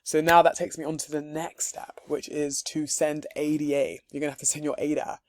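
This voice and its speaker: Hoarse, chatty man with a raised pitch. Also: level tone, brisk, dry recording. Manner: chatty and upbeat, with light laughs and frequent um's. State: hoarse, losing his voice. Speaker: crypto tutorial creator. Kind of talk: casual screen-share walkthrough.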